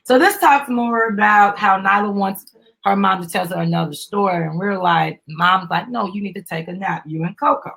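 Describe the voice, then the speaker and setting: Woman, slightly rough-edged voice, upbeat host reading a children's book aloud with casual asides and prompts for a little one. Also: medium pitch, moderate pace, fairly bright tone, low-quality recording. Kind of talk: live dramatic storybook reading with chatty asides.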